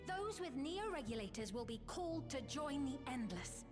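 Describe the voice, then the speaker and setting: A high, soft-mannered young queen from a video game, benevolent and persuasive. She speaks formally and soothingly, with music playing clearly behind her. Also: very animated, normal speed. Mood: grand, persuasive. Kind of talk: scripted video game voice acting.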